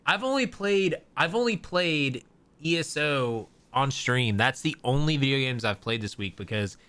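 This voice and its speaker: A man's voice: mid-range voice, expressive, normal speed, a bit bright, smooth. A young man co-hosting a gaming podcast, chatting casually and rambling with many fillers and restarts. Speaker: podcast co-host.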